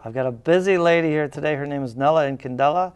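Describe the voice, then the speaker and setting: A man hosting a talk show interview, speaking haltingly with many uhs and restarts. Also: on the high side, clean tone. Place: cable TV studio.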